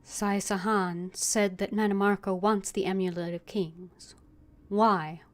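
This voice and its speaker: A woman's voice: medium pitch, steady tone, takes it slowly. A woman game streamer, reading character dialogue aloud as she plays.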